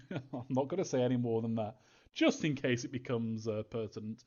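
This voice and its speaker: Male game developer for casual Q&A, mid-pitched, hesitant and chuckling, slightly muffled lo-fi recording. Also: normal speed, darkish tone. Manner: hesitant, chuckling, evasive about story details. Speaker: game developer answering fan questions. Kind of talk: casual audience Q&A, reading questions aloud and answering. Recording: dull, lo-fi audio.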